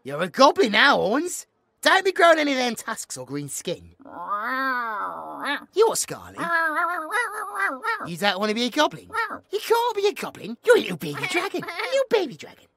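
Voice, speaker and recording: A woman playing a cheeky, excitable young village bard with a British accent, chatty and colloquial in a fantasy audio drama. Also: sits high, moderate pace, pauses often, no echo, heavily acted. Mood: playful, excited. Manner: animated, colloquial, playful. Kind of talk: fantasy audio roleplay acting.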